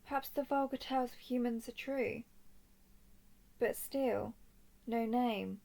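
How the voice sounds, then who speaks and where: A woman playing a young royal dragon in a roleplay audio drama, speaking formally, softly and deliberately as a composed, curious captor. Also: on the high side, gravelly. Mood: wry, composed. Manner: formal, deliberate, soft-spoken.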